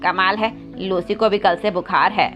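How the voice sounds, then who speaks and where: A woman's voice: medium pitch, fairly even intonation, moderate pace, a bit bright, faint music behind, pitched below the performer's own voice, natural voice. A woman voicing a kind, earnest young male parrot, a protective big brother, in a children's cartoon story. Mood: concerned. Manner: reassuring, earnest, firm.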